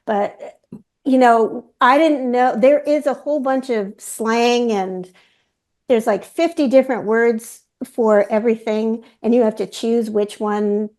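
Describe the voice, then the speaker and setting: A woman hosting a webinar in a relaxed, rambling way, often correcting herself mid-sentence. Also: a little high. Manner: rambling, self-correcting, chatty. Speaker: webinar host. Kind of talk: casual panel discussion.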